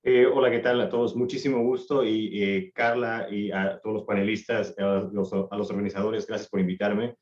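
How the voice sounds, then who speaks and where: A man in his thirties with a lowish voice speaks on a video call, warm but rambling, stumbling over restarts. His audio comes through echoey and dull. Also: steady tone, normal speed, hardly any pauses. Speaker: panelist, recruiter.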